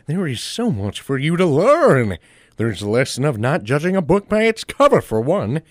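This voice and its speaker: Casting: melodramatic cartoon superhero, grand and dim yet kindly, wildly varied intonation. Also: male voice, fairly high pitch, sing-song, normal speed, no room sound, clean studio sound. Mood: grand, confident. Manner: pompous, melodramatic. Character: booming, pompous, dim but big-hearted male superhero. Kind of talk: cartoon audio drama acting.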